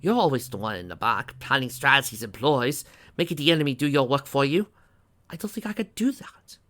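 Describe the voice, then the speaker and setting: A reader voicing a humble younger royal alicorn sister, soft and hesitant, in a fantasy story. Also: medium pitch, expressive, brisk, voice pushed up, close to an everyday voice. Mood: insecure.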